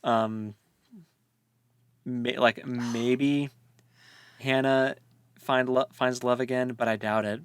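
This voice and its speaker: A man's voice: mid-range voice, steady tone, slow, pauses often, clean tone, dry recording. A man co-hosting a book discussion in a casual chat style, thinking aloud in a hesitant way. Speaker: podcast co-host.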